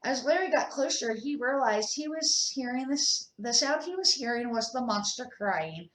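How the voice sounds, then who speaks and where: An older woman, a grandmother, reading a children's storybook aloud in an expressive amateur way. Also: fairly high pitch, normal speed. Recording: echoey room.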